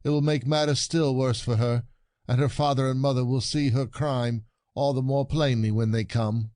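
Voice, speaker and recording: An actor playing a wronged, exasperated husband in an audio stage comedy, protesting theatrically and pleading indignantly. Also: male voice, medium pitch, fairly even intonation, moderate pace, a little mellow, dry recording, studio quality, natural voice. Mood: bitter gloating.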